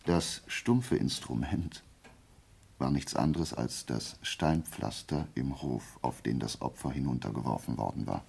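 An actor playing a brilliant, self-assured detective in a German radio play, explaining deliberately and prodding with rhetorical questions. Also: male voice, a bass voice, animated, vocal fry, darkish tone, breathy edge, full character voice. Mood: analytical, emphatic. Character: brilliant, methodical male amateur detective, self-assured and theatrical.

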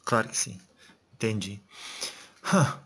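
A man's voice: fairly low pitch, lively intonation, slow pace, fairly bright tone, slightly rough. A man interviewing a spirit medium, hesitant and searching for words as he asks questions. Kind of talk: conversational interview.

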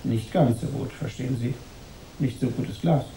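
An actor playing a blunt, dry shopkeeper in a radio drama, speaking deliberately in an echoey room. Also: male voice, mid-range voice, warm tone, slight background noise, natural voice. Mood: dry, reluctant.

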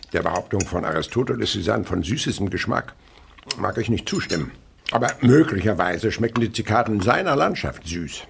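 A man's voice: low-pitched, vocal fry, a bit bright, slight background noise, heavily acted. An actor playing an eccentric, curious insect researcher and father in a radio play, lecturing and musing theatrically.

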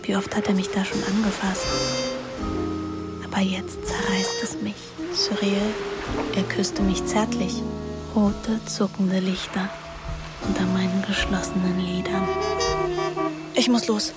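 A woman plays a restless teenage daughter in a literary radio drama. She has a medium-pitched voice and narrates with intimate, wry introspection. Music is clearly heard behind her. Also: lively intonation, measured pace, pauses often, lightly acted. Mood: pensive.

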